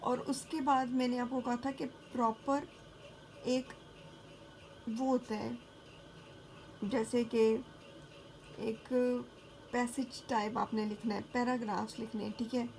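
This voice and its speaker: A woman teacher giving a lecture, talking casually and checking in with her students, then reading English passages slowly. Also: fairly high pitch, fairly even intonation, a little mellow, a little hiss or hum, poor audio. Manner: frequent checking fillers. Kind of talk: classroom lecture mixing Hindi and English.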